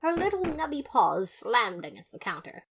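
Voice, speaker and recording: A woman playing a pompous, fastidious skeleton detective, narrating a story in the first person with theatrical, haughty disapproval. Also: on the high side, sing-song, fairly bright tone, slight background noise. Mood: disgusted.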